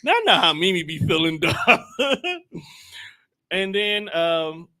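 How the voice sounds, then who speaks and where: A man's voice: a little high, expressive, slow, sharp tone. A man hosting a podcast in joking, laughing casual chat. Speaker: podcast host.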